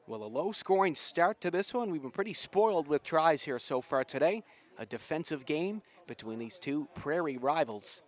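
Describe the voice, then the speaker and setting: Enthusiastic higher-pitched male sports commentator. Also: expressive, moderate pace. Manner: enthusiastic, folksy slang. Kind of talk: live rugby commentary. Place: outdoors at a sports field.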